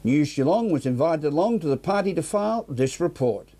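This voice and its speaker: A man's voice: on the high side, animated, brisk, warm tone. An Australian man reading scripted local news as the presenter.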